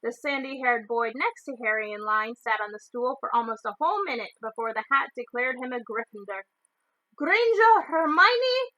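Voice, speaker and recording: A woman storyteller reading a children's novel aloud in expressive narration. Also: a little high, brisk, few pauses, no room sound, low-quality recording.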